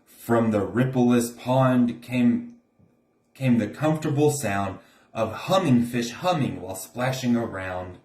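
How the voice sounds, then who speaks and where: A man's voice: mid-range voice, lively intonation, measured pace, dark tone. A man reading a rhyming children's storybook aloud as narrator. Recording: roomy, echoing room sound.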